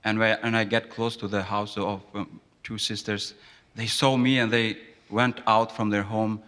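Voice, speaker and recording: Earnest man from Kosovo, slightly low voice. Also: level tone, normal speed, a bit bright, in a large hall. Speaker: interviewee. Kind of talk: formal interview testimony.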